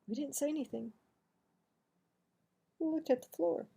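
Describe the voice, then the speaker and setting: A woman in her sixties reading a children's story aloud in a dramatic, deliberate, expressive way. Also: sits high, gravelly. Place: small home office, indoors.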